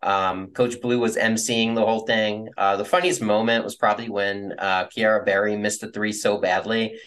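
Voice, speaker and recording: A man's voice: lowish voice, fairly even intonation, moderate pace, fairly bright tone. Young man recapping with many fillers. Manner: rambling, many fillers and hedges. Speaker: podcast co-host. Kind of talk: casual recap chat.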